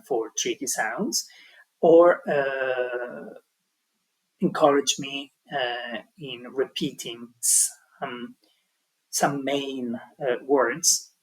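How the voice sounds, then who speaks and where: Husky man giving a hesitant tutorial. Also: medium pitch, rough recording. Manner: hesitant, many ums and restarts. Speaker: language teaching tutor. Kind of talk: explaining an online tutorial.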